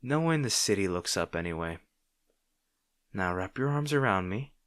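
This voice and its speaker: Man playing a low-voiced, grudgingly caring supervillain. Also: expressive, no room sound, clean studio sound. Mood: reassuring, gentle. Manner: halting, sighing, exasperated yet soft. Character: grudgingly protective male supervillain rival, sarcastic but caring. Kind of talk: intimate audio roleplay acting addressed to the listener.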